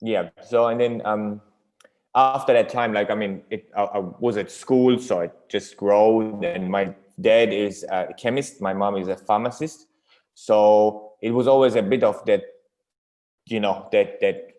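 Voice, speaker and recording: A man, answering interview questions in halting, English and searching for words. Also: fairly low pitch, a little mellow. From Iran until age six, then Germany. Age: 30s. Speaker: company owner being interviewed.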